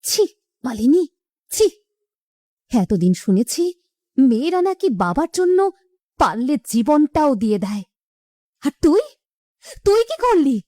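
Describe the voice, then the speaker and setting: A woman's voice: sits high, very animated, a little slow, long pauses, darkish tone, no echo, studio quality. An actress playing a middle-aged mother and wife, lamenting emotionally in a horror cartoon story. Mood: reproachful.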